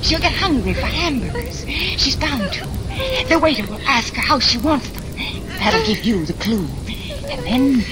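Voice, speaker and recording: A woman's voice: high-pitched, sing-song. A woman playing a sinister, gloating nightmare plotter in a 1940s radio drama, relishing each phrase. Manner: slow, relishing, with chuckles. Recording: noisy vintage 1940s radio recording.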